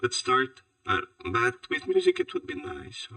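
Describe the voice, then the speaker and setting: A man's voice: sits high, huge pitch swings, measured pace, bright, forceful tone, slightly rough. A man streaming from home in casual chat, rambling and thinking aloud as he sets up his gear. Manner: rambling, thinking aloud, asking himself questions. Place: home, moving between PC and kitchen. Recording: distant, muffled home stream mic.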